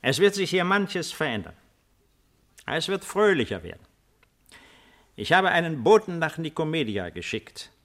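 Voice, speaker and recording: An actor in a 1950s German radio play playing an old, weary, retired Roman emperor with a deliberate, ironic, theatrical delivery. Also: male voice, fairly high pitch, sing-song, a bit bright. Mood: animated, commanding. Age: older.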